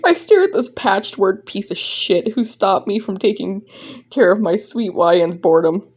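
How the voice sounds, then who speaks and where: A woman's voice: mid-range voice, expressive, normal speed, a little mellow, breathy edge. A woman voicing a crude, menacing male boss in a story reading, leering and venomous. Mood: angry, venomous. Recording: dull, lo-fi microphone sound.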